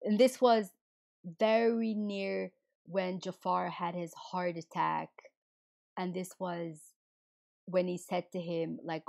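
A hoarse woman who is losing her voice hosts a livestream and rants indignantly, firing rhetorical questions at her viewers. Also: lowish voice, level tone, slow pace, darkish tone. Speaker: livestream host. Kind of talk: heated casual livestream rant.

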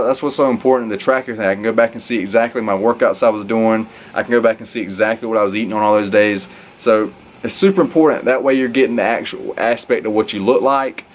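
A man's voice: mid-range voice, low-quality recording. A young man talking casually to camera from an outdoor porch, rambling and upbeat with lots of fillers. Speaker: fitness vlogger. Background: crickets and insects.

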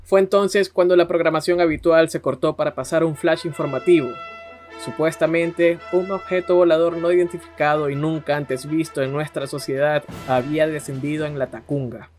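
A man's voice: a little high, fairly even intonation, normal speed, a little mellow, soft background music. A man with an Ecuadorian accent hosting a podcast, narrating history in a conversational style. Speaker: podcast host.

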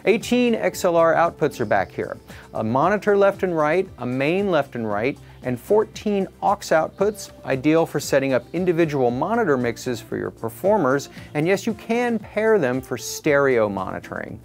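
A man's voice: on the high side, very animated, moderate pace. A middle-aged man reading a scripted product review as the presenter. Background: background music.

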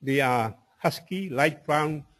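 Male presenter, Tongan accent, high-pitched husky delivery, public talk. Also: slow, fairly bright tone. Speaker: presenter at a community meeting.

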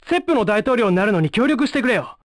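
An actor playing a brash, blunt young male ninja in a video game, with rough, slangy delivery. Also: high voice, sing-song, quick pace, no echo, studio quality. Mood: surprised, eager.

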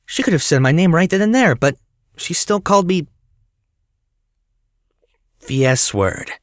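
A man's voice: on the high side, animated, unhurried, no room sound, studio quality. A man voicing a young male hero with a secret identity, suspicious and defiant. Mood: wary. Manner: suspicious, defiant, talks back.

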